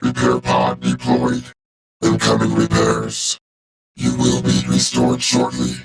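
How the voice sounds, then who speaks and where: A man voicing a cold, loyal robot warrior for a video game, speaking in a clipped, formal, deadpan way through a robotic filter. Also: mid-range voice, slow pace, gravelly, heavily acted, rough recording. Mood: clinical, detached. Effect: robotic vocoder filter.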